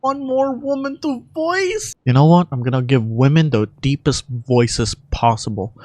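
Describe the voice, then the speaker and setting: A man's voice: medium pitch, sing-song, measured pace, a little mellow, no echo, studio quality. A man reacting casually and with self-mocking exasperation as he attempts amateur voice-over, swearing at himself. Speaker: video creator doing amateur voice-over. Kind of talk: casual reactions between character dubbing.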